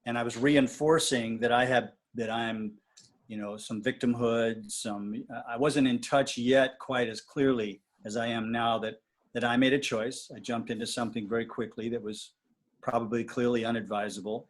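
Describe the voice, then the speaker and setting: Man reflecting in halting casual talk. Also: mid-range voice, fairly even intonation. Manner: reflective, halting with many restarts and fillers. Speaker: client of a dating coach.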